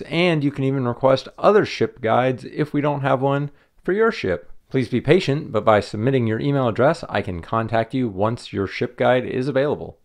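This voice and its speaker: Guy with a gravelly voice, relaxed how-to talk, crafting at a table indoors. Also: medium pitch. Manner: relaxed, friendly step-by-step explaining. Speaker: channel host. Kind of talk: casual how-to demonstration.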